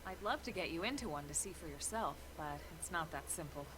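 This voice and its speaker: A woman playing a serious military officer in a video game, explaining things in a measured, businesslike manner. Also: sits low, lively intonation, noisy background. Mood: composed. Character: composed, serious adult female military officer guiding a recruit. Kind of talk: English-language video game voice acting.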